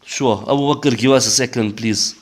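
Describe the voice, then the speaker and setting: A man playing a stern, measured sheikh questioning a suspect in an audio drama. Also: medium pitch, slightly rough, lightly acted. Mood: grave, firm.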